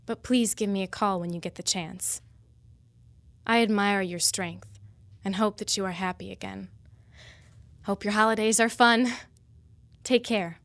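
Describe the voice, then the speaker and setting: An actress playing an earnest, confiding mother in a radio drama, reading a personal letter in a measured way. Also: medium pitch, steady tone, a bit bright, no room sound. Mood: friendly. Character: Julie, grieving mother of a sick baby girl, earnest, confiding.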